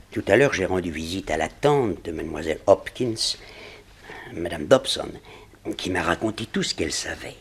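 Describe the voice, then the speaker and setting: Deep, husky company director. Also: male voice, a bit bright, exaggerated voice. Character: formal, earnest insurance company director. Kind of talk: 1960s French radio drama acting.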